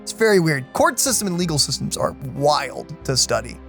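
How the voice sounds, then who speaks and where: Animated, slightly high-voiced male gaming commentator. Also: moderate pace, creaky, faint music behind. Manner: rambling, thinking aloud. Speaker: let's play host.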